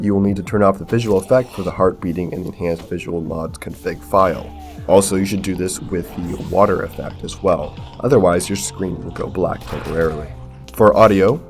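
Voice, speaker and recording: A man with a low-pitched voice delivers scripted tutorial narration for a gaming video. He speaks in a measured, explanatory manner. Music plays behind his voice. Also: darkish tone. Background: background music.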